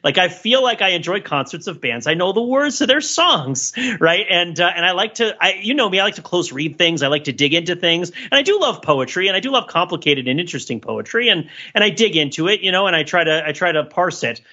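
A man's voice: sits high, very animated, a little fast, hardly any pauses, pushed and bright. A middle-aged man co-hosting a podcast, chatting in a rambling, wry way on slightly lo-fi audio. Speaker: podcast co-host. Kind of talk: casual comic-analytical chat.

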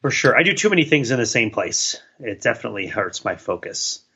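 A man's voice: mid-range voice, sing-song, brisk, gravelly, bright, forceful tone, breathy edge, dull audio. A man co-hosting a podcast in casual banter, wry and keen on small details. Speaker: podcast co-host.

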